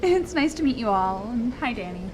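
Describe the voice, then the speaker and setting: A young woman playing a skeptical science graduate student in an audio drama, measured, dry and polite. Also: fairly high pitch, very animated, background noise. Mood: polite, guarded.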